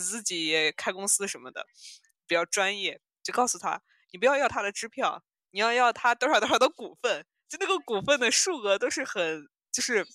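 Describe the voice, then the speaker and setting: A woman podcast host with a bright, crisp-edged tone in a very dry recording. She chats casually and giggles easily. Her phrasing is animated and halting, with words repeated as she goes. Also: medium pitch, dry recording.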